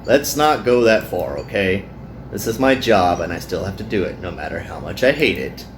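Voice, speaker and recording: A man's voice: deep voice, expressive, moderate pace, over music. A man voicing a weary, self-deprecating young male worker in a visual novel.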